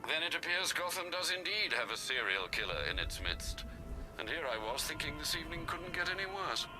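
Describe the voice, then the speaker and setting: An actor playing a dry, dutiful elderly butler in a video game, formal and understated. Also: male voice, fairly high pitch, sing-song, fairly quick, over music, low-quality recording. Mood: dry concern.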